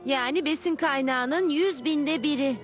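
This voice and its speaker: A woman's voice: sits high, a little slow, soft background music. A woman voicing a confident young pupil explaining ideas in a dubbed educational cartoon.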